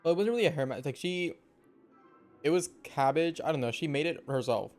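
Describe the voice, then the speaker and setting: A man's voice: on the high side, a little fast, darkish tone. A man giving casual, chatty reaction commentary as a game streamer, with playful slang. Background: faint game music.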